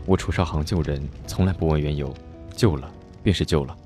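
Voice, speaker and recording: A man acting a cheerful, persistent young martial-arts hero in a radio drama, with a teasing, expressive delivery. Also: a bass voice, brisk. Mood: playful. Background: music score underneath.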